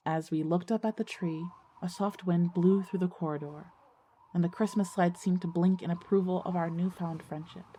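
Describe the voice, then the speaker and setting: A woman's voice: fairly low pitch, steady tone, normal speed, warm tone, slight background noise, natural voice. A woman playing an earnest teenage schoolgirl who narrates her own ghost story in an audio drama. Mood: hushed storytelling. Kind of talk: scripted first-person narration and audio drama dialogue.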